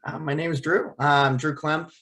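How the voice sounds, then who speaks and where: A man's voice: fairly high pitch, steady tone, normal speed, pushed and bright. A young man, a college junior, speaking as a guest referee and listing his background plainly. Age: young adult.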